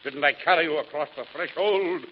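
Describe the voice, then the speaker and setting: A man's voice: a little high, a little fast, lightly acted. A man playing a hammy, pompous rich older husband in a 1940s radio comedy, theatrical and full of flowery endearments. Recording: dull old radio recording, faint hiss.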